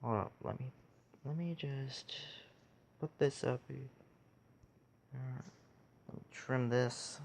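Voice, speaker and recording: Man, casual tutorial narration, slow pace, halting short phrases, medium pitch. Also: fairly even intonation, creaky, a little mellow. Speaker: tutorial video creator. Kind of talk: casual screen-recorded how-to tutorial.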